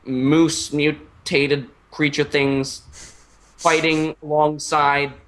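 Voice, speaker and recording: A young man improvising a comic story in a casual, halting, rambling way with crude slang. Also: fairly high pitch, flat delivery, a bit bright, rough recording.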